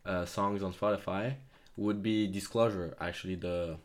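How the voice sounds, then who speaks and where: A man's voice: low-pitched, steady tone, moderate pace. A young man from France telling a rambling story on a student podcast with many fillers. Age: young adult. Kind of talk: casual podcast chat.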